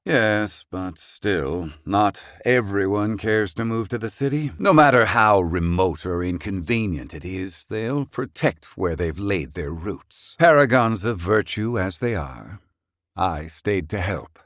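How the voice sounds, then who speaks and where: A proud, gruff but kindly older mage from a fantasy video game. His voice sits low and speaks in stately, bookish sentences that often end on a clipped questioning tag. The sound is dry, with no room around it. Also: expressive, slow, a bit bright, dry recording, heavily acted. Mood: somber, matter-of-fact. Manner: formal, wordy, archaic phrasing with clipped questioning tags. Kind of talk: English-dub video game voice acting.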